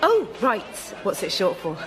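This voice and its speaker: A woman's voice: medium pitch, huge pitch swings, unhurried, a loud crowd, very noisy. A British woman playing a chatty, tactlessly curious character in a radio comedy sketch, inquisitive and over-eager.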